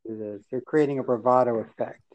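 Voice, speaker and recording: A man raised with Yiddish and Hebrew, chatting casually in a plain, repetitive, low-key way. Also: steady tone, brisk, lo-fi sound, a crowd in the background. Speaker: podcast co-host. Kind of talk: casual chat.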